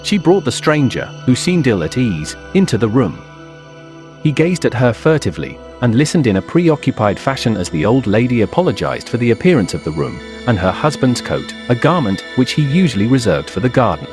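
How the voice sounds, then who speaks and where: A man's voice: medium pitch, very animated, a little fast, a little mellow, faint music behind. A man narrating a scripted suspense story in a literary style.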